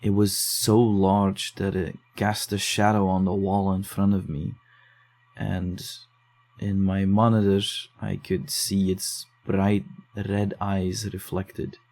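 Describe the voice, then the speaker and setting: Guy playing jumpy young dude in a horror audio drama, low mellow voice, kinda defensive. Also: completely flat delivery. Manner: defensive, uneasy, hurried. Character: shaken young man investigating case files. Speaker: narrator and lead character.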